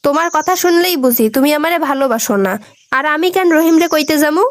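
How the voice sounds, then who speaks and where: A woman's voice: high-pitched, fast talker, dry recording, studio quality, natural voice. A woman with a Bangladeshi accent playing a stubborn, sulky, nagging young village wife in a comic cartoon. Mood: insistent, demanding. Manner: nagging, insistent.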